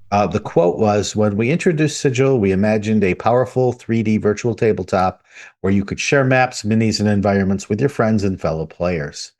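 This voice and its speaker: A man co-hosting a tabletop gaming podcast, reading out news items in a steady, measured way. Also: lowish voice. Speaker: podcast co-host.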